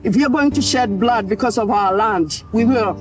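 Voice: medium pitch, normal speed, music in the background, natural voice. An actor playing a defiant forest defender in a radio play, speaking in solemn, resolute declarations. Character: defiant forest defender ready to shed blood. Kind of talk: dramatic radio play monologue.

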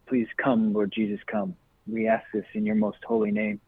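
A man co-hosting a radio program who leads an earnest spoken prayer, slow and pleading, heard over a tinny phone line. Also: fairly low pitch, lively intonation, creaky, slightly rough, no room sound. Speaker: radio co-host.

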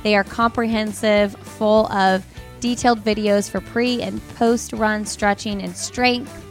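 A woman's voice: mid-range voice, steady tone, measured pace, soft background music. A woman hosting a running podcast, asking friendly questions and reading her own ad spots. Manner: friendly, asks questions with fillers. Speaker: podcast host. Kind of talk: podcast interview and ad read.

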